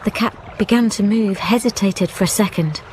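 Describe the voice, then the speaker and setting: A woman's voice: mid-range voice, moderate pace, darkish tone, slight background noise, lightly acted, low-quality recording. A woman playing a haunted, stubborn young widow in a radio drama, speaking intensely in short broken phrases. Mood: haunted, tense. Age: young adult. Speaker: actor and narrator in a radio drama. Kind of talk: dramatic first-person narration and dialogue. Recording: radio drama with music behind.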